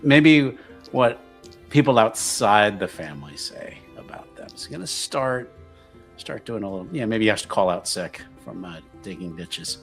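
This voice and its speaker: A man with a mid-pitched voice talks very slowly through his character's plans in a tabletop game. He is casual and hesitant, thinking aloud over a bed of music. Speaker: player in a tabletop roleplaying game. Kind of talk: casual improvised character narration. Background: background music.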